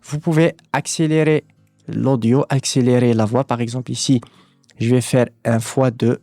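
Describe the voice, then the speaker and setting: A man presenting a software tutorial, explaining things step by step. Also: mid-range voice, fairly even intonation, moderate pace, no room sound, soft background music.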